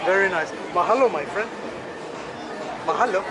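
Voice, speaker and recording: A man's voice: high-pitched, lively intonation, moderate pace, heavy background noise. A man hosting a vlog, stopping passers-by for playful, teasing street interviews. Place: busy outdoor street festival at night. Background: loud crowd.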